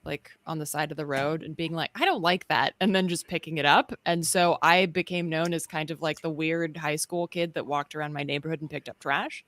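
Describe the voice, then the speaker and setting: A woman in her thirties, a journalist and filmmaker, talking in a relaxed video-call interview with many ums and restarts. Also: sits low, a little fast, hardly any pauses. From North Carolina and Sweden. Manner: thoughtful, many ums and restarts, wry asides. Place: home room indoors. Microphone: studio mic on a video call.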